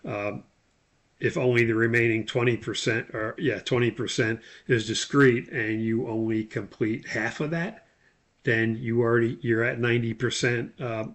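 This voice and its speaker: A man's voice: mid-range voice, steady tone, normal speed, fairly bright tone. Plain-spoken man giving a lecture. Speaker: instructor. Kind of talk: explanatory lecture, loosely scripted.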